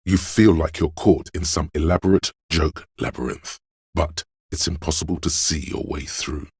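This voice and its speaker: An actor voicing a suave, theatrical inner voice in a video game, narrating in a measured, wry way with a gravelly, husky tone. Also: male voice, rumbling low, darkish tone, dry recording, studio quality, heavily acted. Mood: wry, knowing. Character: suave, theatrical inner voice of a detective's flair and agility. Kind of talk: scripted second-person game narration.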